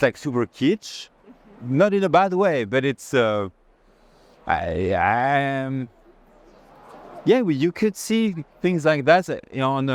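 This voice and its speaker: A man with a French accent chatting casually on an art fair tour, informal and often searching for words. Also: mid-range voice. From France. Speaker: art institution director in conversation.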